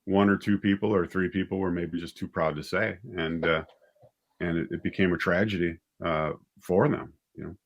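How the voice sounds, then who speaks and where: A man with a low-pitched voice speaks as a writer and director in a casual interview. He feels his way through his thoughts, pausing and picking up again as he explains his work. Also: steady tone, lo-fi sound.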